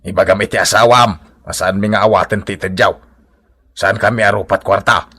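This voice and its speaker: An actor playing a proud, blustering old Ilocano father-in-law in a comedy radio drama, with a husky voice and an exclamatory, drawling delivery. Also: fairly low pitch, fairly even intonation, a little fast, bright, forceful tone. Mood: indignant. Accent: Filipino, Ilocano.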